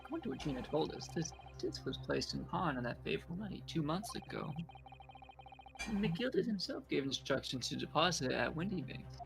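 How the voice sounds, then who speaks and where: Male game streamer reading lines aloud: heavy vocal fry, lively pitch, music clearly behind. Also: moderate pace, poor audio. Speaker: livestream player and voice reader. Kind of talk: game dialogue read-aloud on a livestream.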